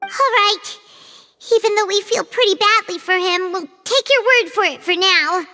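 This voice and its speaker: A woman voicing a tiny, blunt, curious fairy companion in a video game, squeaky and childlike. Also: normal speed, pushed and bright, soft background music. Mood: frank, curious.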